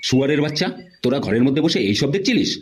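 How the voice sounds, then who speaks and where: A man voicing a stern, short-tempered middle-aged father in a comedy cartoon, gruff and demanding. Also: medium pitch, very animated, racing, noisy background, close to an everyday voice. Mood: furious.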